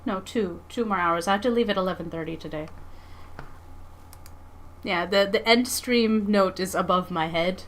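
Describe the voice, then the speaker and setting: A woman livestreamer chatting casually with her viewers in a playful, expressive, giggly manner. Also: mid-range voice, moderate pace, long pauses, a little hiss or hum. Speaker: live streamer and comics artist.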